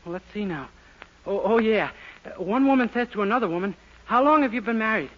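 A dull, hissy 1940s radio recording of a naive young man in a sitcom. His voice is high and he talks quickly, with an eager, guileless manner that is slow to catch on. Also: animated.

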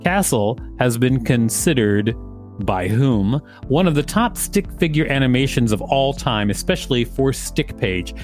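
A man's voice: medium pitch, animated, measured pace, a little mellow, soft background music. Wry man reading aloud with asides. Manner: wry, interrupts the text with sarcastic asides. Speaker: podcast co-host reading an article. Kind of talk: casual read-aloud with joking asides.

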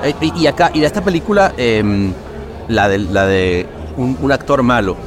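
A man hosting a casual interview, relaxed and slangy, often saying "güey". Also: medium pitch, moderate pace, a crowd in the background. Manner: relaxed, slangy, frequent "güey" and "qué chingón". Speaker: podcast host.

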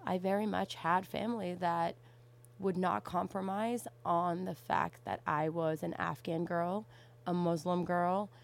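A young woman from Canada in casual conversation, telling stories in an animated way and often saying "like". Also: on the low side, a little slow, creaky. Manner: animated storytelling, frequent "like".

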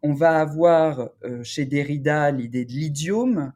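A man speaking as an academic researcher in an interview, explaining in a deliberate, halting, scholarly way. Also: fairly high pitch, mellow.

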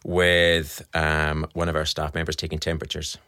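A man with a Scottish accent, a fertility specialist answering interview questions in a measured, explanatory way with frequent ums. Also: a bass voice, completely flat delivery, bright, forceful tone, clear, resonant tone, no room sound, studio quality.

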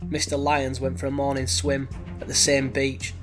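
A man's voice: medium pitch, monotone, moderate pace, over music. A man hosting a true crime podcast, narrating a mystery with a head cold. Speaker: podcast host.

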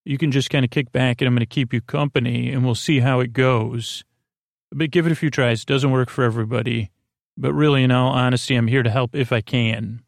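A man hosting a bedtime podcast in a rambling, halting casual monologue, repeating himself and drifting into tangents with a gravelly voice. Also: medium pitch, fairly even intonation, dry recording, studio quality. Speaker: podcast host.

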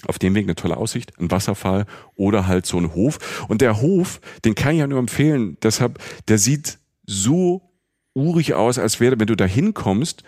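A man's voice: lowish voice, darkish tone, no room sound, clean studio sound. A man from the Palatinate co-hosting a podcast, telling a rambling, chatty travel story with many fillers. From Palatinate, Germany. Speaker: podcast co-host. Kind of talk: casual travel chat.

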